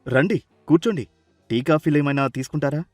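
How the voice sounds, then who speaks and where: A man's voice: on the high side, fairly quick, dry recording, soft background music, natural voice. A man playing an agreeable, hospitable middle-aged family man in storybook dialogue, with an obliging manner. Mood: welcoming.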